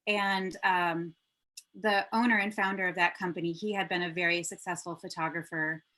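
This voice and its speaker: Bright-voiced woman producer, chatty guest. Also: on the low side, fairly even intonation. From Michigan and Germany. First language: German. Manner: upbeat, chatty, quick laughs. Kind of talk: video call interview.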